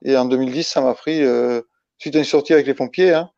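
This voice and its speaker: A man from Italy speaking as a guest in a casual interview, halting and restarting his phrases as he explains. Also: mid-range voice, steady tone, gravelly, darkish tone. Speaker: interview guest, ultra-trail runner. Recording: dull lo-fi, roomy echo.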